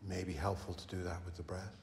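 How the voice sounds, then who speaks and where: A man leading a guided meditation as a teacher, speaking slowly and gently with long unhurried pauses. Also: low-pitched, flat delivery, vocal fry. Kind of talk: guided meditation, slow deep-relaxation instruction. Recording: reverberant hall, some background noise.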